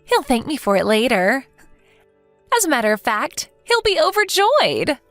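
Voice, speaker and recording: A woman's voice: sits high, sing-song, moderate pace, a bit bright, dry recording, faint music behind. A woman playing a smug, taunting young mistress in a dramatized story, sneering and condescending to her rival. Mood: haughty gloating. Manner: mocking, gloating, condescending.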